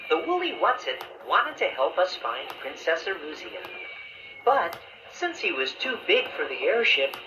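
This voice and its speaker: A man playing a friendly storybook bear who narrates a children's tale in a measured way, with echoey playback. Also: on the high side, wildly swooping pitch, noisy background. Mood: storytelling.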